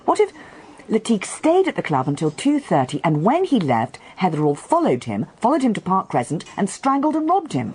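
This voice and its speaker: Inquisitive British woman with mellow voice. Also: medium pitch, wildly swooping pitch, a little fast, slight background noise. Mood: reasoning, absorbed. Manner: inquisitive, direct, insistent. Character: curious, persistent young woman quizzing a detective. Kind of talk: BBC radio detective drama acting.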